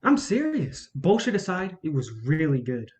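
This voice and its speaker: A woman's voice: low-pitched, very animated, moderate pace. A woman playing a cunning, bitter male film distributor in a staged play reading, with a blunt, cocky manner. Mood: slick, flattering.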